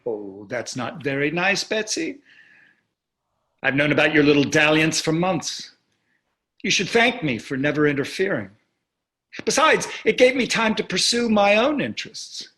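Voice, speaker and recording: A man's voice: on the high side, lively intonation, a little slow, bright, forceful tone. A man playing a smug, callous wealthy husband in a staged play reading, sardonic and condescending. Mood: sardonic, taunting.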